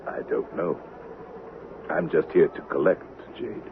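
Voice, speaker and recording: Deep-voiced, quietly menacing avenger. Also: male voice. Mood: quiet menace. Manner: calm, measured, quietly threatening. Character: menacing, patient, soft-spoken stranger bent on revenge. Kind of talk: old-time radio drama acting. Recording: muffled, hissy vintage radio recording.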